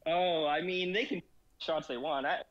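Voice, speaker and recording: A man's voice: on the high side, steady tone, moderate pace, a bit bright, highs cut off. A man chatting casually as a guest about a strategy game, rambling with many fillers and frequent laughs. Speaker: guest in gaming discussion. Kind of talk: casual chat.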